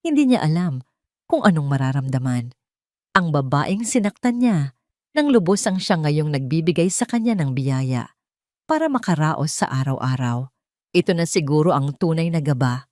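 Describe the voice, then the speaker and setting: A lower-pitched woman tells a romantic drama in a measured, heartfelt voice. Her narration is close-miked, with a dry sound and no room around it. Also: darkish tone, no echo. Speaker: storyteller.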